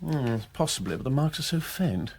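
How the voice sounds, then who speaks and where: An actor playing an eager, courteous aristocratic detective with an upper-class English accent in a British radio drama. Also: male voice, mid-range voice, expressive, moderate pace, darkish tone. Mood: musing, keen. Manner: urbane, courteous, hesitant musing.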